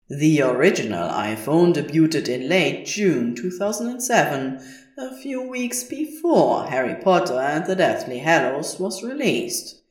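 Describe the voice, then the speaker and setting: A woman reading scripted fiction aloud as a podfic narrator. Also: low-pitched.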